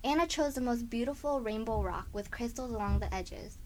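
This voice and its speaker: A woman's voice: on the high side, a little fast, darkish tone. A woman reading scripted narration for a children's story as the narrator. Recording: faint background noise.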